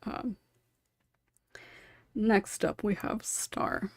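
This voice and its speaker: Female podcast host, chatty and giggly, breathy texture, very dry recording. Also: medium pitch, steady tone, extremely slow, no room sound. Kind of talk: casual panel discussion hosting.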